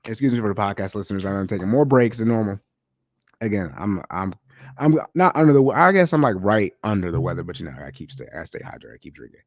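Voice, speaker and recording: A man with a lowish voice hosts his own podcast in a relaxed, slangy manner. He sounds stuffed up and nasal from a lingering cold. The sound is close and dry with no room echo. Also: quick pace. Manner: loose, chatty, slangy delivery. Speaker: podcast host. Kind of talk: casual solo podcast talk. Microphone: podcast microphone.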